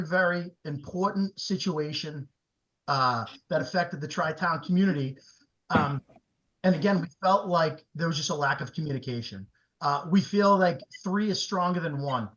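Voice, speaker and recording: A man speaking as a town board member at a public meeting, earnest, with many pauses and fillers. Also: a little high, fairly bright tone, lo-fi sound.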